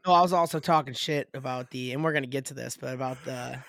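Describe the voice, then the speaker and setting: A raspy-voiced American man co-hosting a casual sports podcast, telling stories in a jokey, profane way. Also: medium pitch, fast, fairly bright tone, slight background noise.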